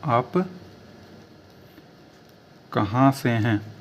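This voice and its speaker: A man gives a slow, careful language lesson. He spells phrases out word by word and lets each one hang before going on. A faint hiss of room noise sits under his voice. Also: mid-range voice, steady tone, highs cut off. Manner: repeats phrases word by word, drawn out. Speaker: language teacher.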